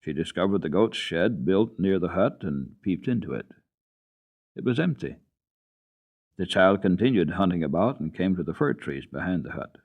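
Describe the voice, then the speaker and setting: Low-voiced, animated male storyteller. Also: normal speed. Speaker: radio storyteller. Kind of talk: dramatic reading of a children's classic. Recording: dull lo-fi old radio recording.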